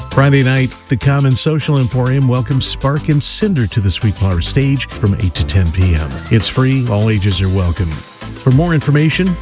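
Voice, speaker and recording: A man reading a scripted events calendar on the radio in a steady promo-style delivery. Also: mid-range voice. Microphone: studio microphone. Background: music bed under the voice.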